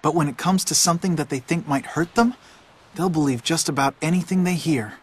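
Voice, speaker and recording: A man's voice: a little high, expressive, brisk, slightly rough. A man voicing a streetwise, cynical boy in a video game, blunt and matter-of-fact, like a bossy older friend. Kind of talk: video game cutscene voice acting.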